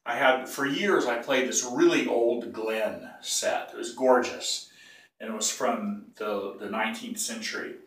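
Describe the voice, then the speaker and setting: An older man with a cold teaches bagpipe technique in a casual, rambling way. He keeps clearing his throat and stops to correct himself. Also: medium pitch, unhurried, fairly bright tone. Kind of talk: casual tutorial. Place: small tiled bathroom. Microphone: phone or camera some feet away. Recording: echoey bathroom, voice from a distance.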